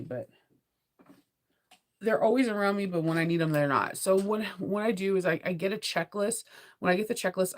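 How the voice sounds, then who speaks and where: A middle-aged American woman chats informally to her livestream viewers. Her voice sits a little low and sounds congested from a cold, unsteady and prone to dropping out. She rambles in a sleepy, giggly, conversational way. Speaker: sewing vlogger. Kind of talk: casual livestream chat.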